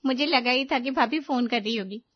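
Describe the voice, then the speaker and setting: A breezy young sister-in-law of college age in an animated family tale played by a woman. Her voice is very high. The recording is dry and a bit lo-fi. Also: a little fast, no echo, close to an everyday voice. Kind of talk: Hindi animated family moral story.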